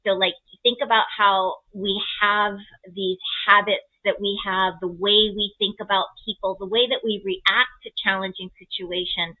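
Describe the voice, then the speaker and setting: A woman speaking as an astrologer, explaining a chart reading conversationally in a gentle, encouraging way with simple analogies. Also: mid-range voice, fairly even intonation, normal speed, hardly any pauses, phone-quality sound.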